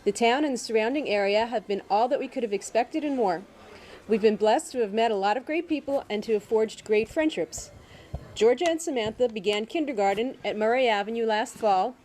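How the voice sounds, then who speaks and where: Soft-spoken woman reading a statement. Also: a little high, brisk, slight background noise, poor audio. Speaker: reader of a candidate's statement. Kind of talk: reading a prepared statement aloud.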